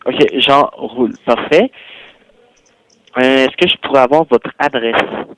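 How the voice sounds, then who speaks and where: A man's voice: medium pitch, takes it slowly, a bit bright. A man with a Quebec French accent working as a hotel reservation clerk, speaking politely and in a businesslike way on a phone call. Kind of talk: customer service phone call. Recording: phone line with clear noise and clicks.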